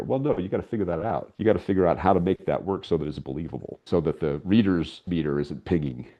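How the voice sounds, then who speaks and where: Very deep-voiced man, emphatic explainer. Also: highs cut off. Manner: emphatic, explanatory, acts out imagined dialogue. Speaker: interview guest, writer and audio drama creator. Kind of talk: conversational interview talk on writing craft.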